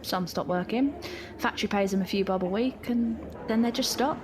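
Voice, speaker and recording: Woman playing cynical factory worker, blunt talk, mid pitch, noisy background, radio drama. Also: moderate pace, natural voice. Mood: bitter. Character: plain-spoken, cynical female factory worker. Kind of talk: audio drama acting.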